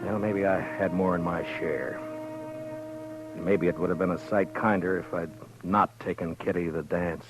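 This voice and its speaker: A steady frontier marshal narrates in a very deep, measured, low-key voice, played by a man. Music plays clearly behind him in the old radio broadcast. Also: close to an everyday voice, poor audio. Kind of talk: 1950s radio drama narration.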